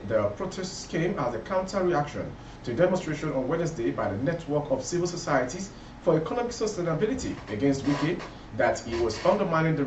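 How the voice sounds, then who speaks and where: A man with a Nigerian accent reads a scripted news bulletin in a formal, declarative manner. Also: mid-range voice. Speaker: news reader. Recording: roomy, muffled, distant, faint background noise.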